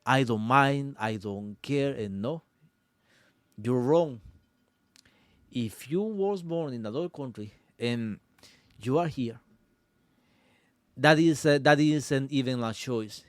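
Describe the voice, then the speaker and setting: A man from Cuba with a Cuban Spanish accent, a podcast guest talking earnestly in halting English aboard a sailboat, often pausing to search for words. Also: medium pitch, dry recording. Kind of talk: casual conversation.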